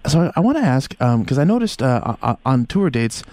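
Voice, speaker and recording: A man's voice: mid-range voice, very animated, a little fast, mellow, no echo. Stammering male radio host. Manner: hesitant, stammering questions. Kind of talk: radio interview.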